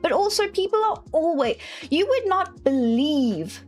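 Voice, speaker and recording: High-voiced South African woman streamer. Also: very animated, a little slow, faint music behind. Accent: South African English, with a British-leaning lilt. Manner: rambling, self-correcting, laughs often. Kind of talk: casual chat while streaming a game.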